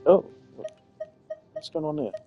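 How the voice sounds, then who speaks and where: A man's voice: measured pace, dull audio, soft background music. A man giving casual gameplay commentary, rambling as he thinks aloud. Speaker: gamer.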